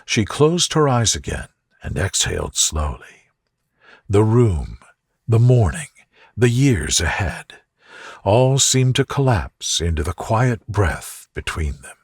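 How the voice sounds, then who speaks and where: Slow, low, soothing male storyteller. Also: sing-song, slightly rough, dry recording, clean studio sound. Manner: soft, gentle, unhurried. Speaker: narrator reading a sleep story. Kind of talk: soothing scripted bedtime narration.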